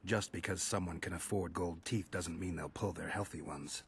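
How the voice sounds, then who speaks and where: An actor playing a gruff, blunt, world-weary monster hunter in a video game, speaking tersely and dryly. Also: male voice, low voice, steady tone, moderate pace, exaggerated voice. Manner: terse, dry, questioning. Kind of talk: video game dialogue acting.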